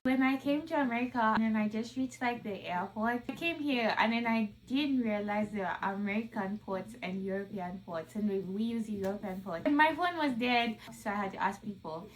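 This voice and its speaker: A woman's voice: medium pitch, moderate pace, few pauses, slight background noise, low-quality recording. A woman with a Zambian accent chatting with a friend and animatedly telling stories. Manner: animated storyteller. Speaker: vlogger. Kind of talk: casual chat with a friend.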